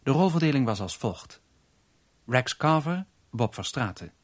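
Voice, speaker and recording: A man's voice: medium pitch, very animated, unhurried, no echo. A man working as a radio announcer, formally reading scripted credits for a drama.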